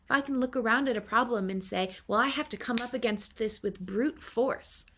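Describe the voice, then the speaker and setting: A woman's voice: a little high, fairly bright tone, slight background noise. Earnest woman giving a spiritual talk. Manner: earnest, affirming, with many pauses. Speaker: spiritual teacher.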